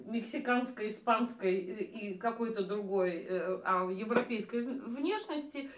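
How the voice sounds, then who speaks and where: Middle-aged woman, hesitant storyteller, somewhat high voice, echoey room. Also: unhurried. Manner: hesitant, many fillers. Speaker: emigrant discussion guest. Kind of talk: casual group discussion, storytelling.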